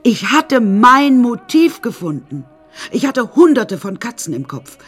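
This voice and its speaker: A woman's voice: a little high, sing-song, unhurried, husky, faint music behind, full character voice. A woman playing an eccentric painter who tells her troubled life in a wry, rambling, matter-of-fact way, as radio drama narration.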